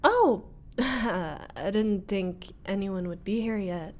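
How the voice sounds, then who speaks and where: A woman's voice: mid-range voice, takes it slowly, a little mellow, lightly acted. A woman voicing a flustered young former bully in a scripted roleplay audio, hesitant and wry. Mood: surprised, awkward. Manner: hesitant, sighing, nervous laughs, wry muttering.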